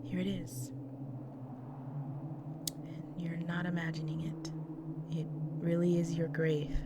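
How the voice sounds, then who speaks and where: A woman playing a gentle, protective vampire wife in an intimate audio roleplay, speaking tenderly in a breathy, near-whispered voice. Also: deep voice, level tone. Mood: soothing, somber. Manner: tender, slow, soothing. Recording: noisy track with loud ambient sound.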